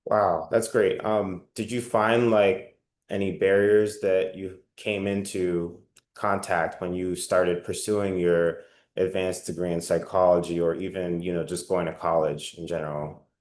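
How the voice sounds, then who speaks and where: A man's voice: deep voice, little pitch movement, a little slow, a little mellow, a little hiss or hum. A man hosting an interview, asking questions in a friendly, encouraging and slightly hesitant way. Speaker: interview host. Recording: echoey room.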